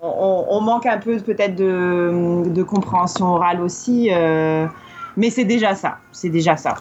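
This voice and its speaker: A woman's voice: lowish voice, normal speed, a little mellow, highs cut off, slight background noise. Hesitant woman from northern France. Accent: northern French. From Lille, northern France. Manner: hesitant, many fillers and restarts. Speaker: charity volunteer guest. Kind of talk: casual podcast interview. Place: lives in Melbourne.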